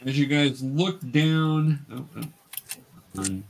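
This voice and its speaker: Man game master, halting and patient. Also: on the high side, expressive, slight background noise. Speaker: game master and show host. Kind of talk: casual explaining while running a tabletop game. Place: remote video call.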